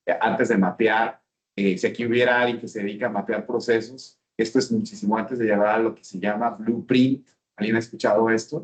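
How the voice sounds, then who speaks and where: A man's voice: fairly low pitch, poor audio. A man with a Mexican accent giving a business seminar talk and often asking the audience questions. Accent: Mexican Spanish. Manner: engaging, asks the audience questions. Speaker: speaker.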